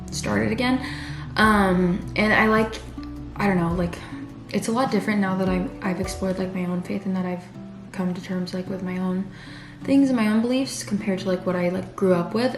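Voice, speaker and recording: Low-voiced young woman chatting. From California. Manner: rambling, many fillers and restarts. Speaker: vlogger. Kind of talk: casual chat. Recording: roomy sound with background music.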